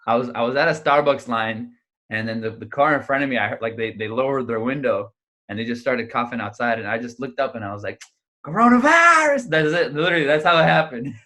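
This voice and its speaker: Animated young male comedian. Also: mid-range voice, quick pace. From United States. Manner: animated storyteller, many fillers and restarts. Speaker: stand-up comedian guest. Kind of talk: casual interview chat. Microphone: video call.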